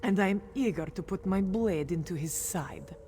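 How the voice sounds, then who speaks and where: A woman's voice: fairly low pitch, moderate pace, noisy background, lightly acted. An actress playing a proud, grieving young cat-folk warrior woman in a video game, with earnest dramatic delivery. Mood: defiant, determined. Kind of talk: video game character dialogue.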